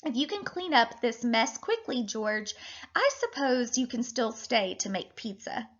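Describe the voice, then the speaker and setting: Woman reading as a high-voiced firm storybook mother. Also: very animated, a little slow, fairly bright tone, dull audio, exaggerated voice. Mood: relenting. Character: stern but forgiving storybook mother.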